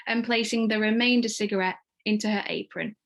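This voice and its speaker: A woman reads screenplay stage directions as narrator in a measured, descriptive manner. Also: mid-range voice, steady tone, vocal fry, low-quality recording.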